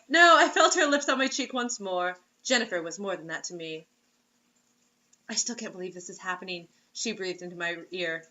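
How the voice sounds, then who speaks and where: A woman's voice: fairly low pitch, expressive, moderate pace, pushed and bright, highs cut off. A woman reading a story aloud as host, in a mocking dramatic way, breaking off for sarcastic casual asides.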